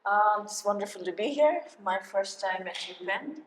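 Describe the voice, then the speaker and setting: A middle-aged woman from Bangladesh, giving a lecture as a guest architect and talking in a halting way, with many fillers and restarts. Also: medium pitch, expressive, a little hiss or hum. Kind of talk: lecture to a live audience. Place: lecture hall. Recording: echoey lecture hall.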